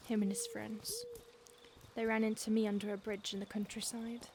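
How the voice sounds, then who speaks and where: A woman's voice: mid-range voice, level tone, moderate pace, darkish tone, a little hiss or hum, close to an everyday voice. A woman voicing an ancient, possessive female vampire stalker in an audio roleplay, mostly whispering close to the listener. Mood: menacing whisper.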